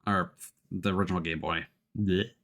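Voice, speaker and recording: A man's voice: deep voice, steady tone, moderate pace, fairly bright tone. A man giving casual gaming commentary, thinking aloud and sometimes reading on-screen text. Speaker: streamer. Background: game music.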